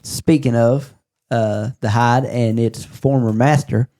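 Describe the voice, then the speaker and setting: A man's voice: mid-range voice, steady tone, slow, warm tone. A man hosting a podcast in a casual, conversational style. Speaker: podcast host. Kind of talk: casual chat.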